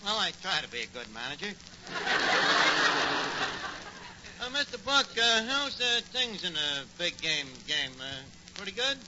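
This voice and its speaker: A man's voice: high-pitched, big pitch swings, normal speed. A man playing a brash, wisecracking and thick-witted tavern manager in a 1940s radio comedy, with hesitant comic patter, on a muffled noisy old recording. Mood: affably bluffing.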